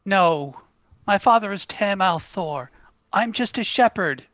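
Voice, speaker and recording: A man acting a troubled young shepherd in a fantasy script read. He talks slowly, haltingly and earnestly, with a dry, dull, muffled sound. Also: sits high, lively intonation, a bit bright, no echo, heavily acted. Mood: shaken, denying. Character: troubled young shepherd refusing his heroic destiny. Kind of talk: dramatic screenplay table read.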